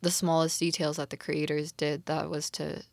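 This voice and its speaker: Low-pitched young Canadian woman chatting casually. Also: flat delivery, normal speed, vocal fry, dry recording. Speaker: podcast co-host. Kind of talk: casual chat discussing a TV drama.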